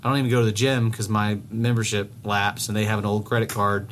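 A man co-hosting a podcast in casual chat, rambling with many restarts, swearing freely and getting animated as he tells stories. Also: lowish voice, fast talker, a little hiss or hum. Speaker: podcast co-host.

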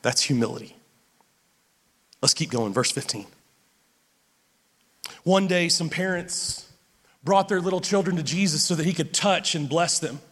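High, bright-voiced pastor in a big hall. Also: male voice, a little slow, pauses often. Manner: stammering restarts, animated and repetitive; loud voice. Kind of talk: sermon. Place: church sanctuary. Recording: long hall reverb.

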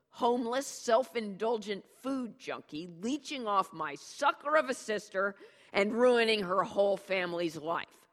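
A man's voice: high voice, pushed and bright, long reverb tail, pitched above the performer's own voice, heavily acted. A reader voicing a proud, bitter father who launches into a cutting, sarcastic tirade, in an audiobook. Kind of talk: audiobook character dialogue.

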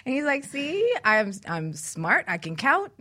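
A woman's voice: high-pitched, very animated, takes it slowly, pushed and bright. A middle-aged woman hosting a talk show, chatting and telling stories casually and affectionately.

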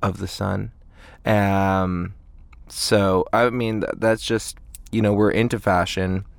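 A man's voice: fairly low pitch, flat delivery, takes it slowly, gravelly, dry recording. A man, a band frontman, chatting casually as an interview guest in a hesitant, rambling way. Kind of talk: casual chat. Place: inside a parked tour van.

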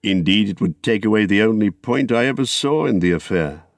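A man voicing a stern but protective old wizard in a storybook, giving firm, measured commands. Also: lowish voice, animated, dry recording, clean studio sound. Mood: commanding.

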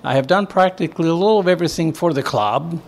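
A man's voice: fairly high pitch, quick pace, vocal fry. An older man from Greece with a Greek accent, answering earnestly as an interview guest. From northern Greece. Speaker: interview guest, community club member.

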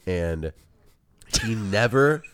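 A man's voice: on the low side, expressive, smooth, dry recording. Crude joking podcast guy. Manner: crude, joking, fast to laugh. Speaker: podcast co-host or guest. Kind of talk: casual chat.

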